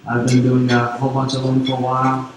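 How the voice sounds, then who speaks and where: A man from Quebec whose first language is French, speaking with a French-Canadian accent as he gives a relaxed technical conference talk full of fillers. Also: mid-range voice, brisk. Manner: relaxed, filler-heavy explaining. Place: large conference hall on stage. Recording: echoey hall, distant mic, faint noise.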